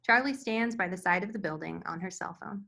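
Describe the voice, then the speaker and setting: A woman's voice: medium pitch, brisk, gravelly, bright, forceful tone. A woman reading screenplay stage directions as scripted narration at a table read.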